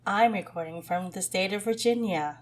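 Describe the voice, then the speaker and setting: A middle-aged woman co-hosting a podcast with her daughter, her voice husky from the flu, chatting casually and laughing often. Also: mid-range voice, moderate pace. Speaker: podcast co-host. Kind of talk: casual chat.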